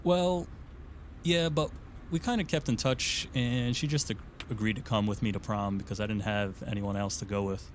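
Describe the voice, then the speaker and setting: A man's voice: fairly low pitch, fairly even intonation, normal speed, a little hiss or hum, natural voice. An actor playing an easygoing, self-deprecating man in his thirties, talking casually and a little sheepishly in an audio drama. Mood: sheepish, amused. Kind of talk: naturalistic audio drama acting.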